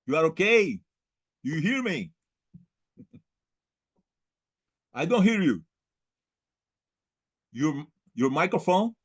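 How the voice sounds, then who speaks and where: Slow, halting man lecturing online. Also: on the high side, big pitch swings, breathy edge. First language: not English. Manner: halting, searching for words, repeating phrases for emphasis. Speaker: lecturer leading an online class. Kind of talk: lecture and discussion on a video call. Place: online video call.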